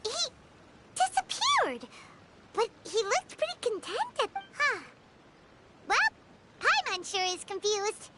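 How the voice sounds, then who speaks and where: A woman voicing a tiny, chirpy, excitable fairy companion in a video game. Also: slow pace, pauses often, very noisy, exaggerated voice. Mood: puzzled. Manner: squeaky, exclamatory.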